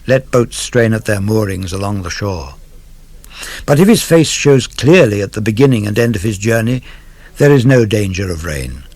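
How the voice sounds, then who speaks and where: A man giving a solemn, measured, declamatory reading of classical poetry for radio. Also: on the low side, lively intonation, a little mellow, dry recording. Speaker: poetry reader. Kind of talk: dramatic literary reading for radio.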